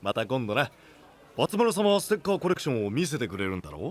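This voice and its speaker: A man playing a rough-talking but big-hearted young guardian and gang boss in an anime voice drama, blunt and reassuring. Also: mid-range voice, very animated, quick pace. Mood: friendly, businesslike. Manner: blunt, tough street talk, reassuring.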